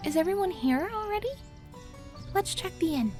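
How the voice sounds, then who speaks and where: Very high young companion. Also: female voice, lively intonation, moderate pace, a little mellow, soft background music. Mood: light, teasing. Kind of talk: video game character dialogue.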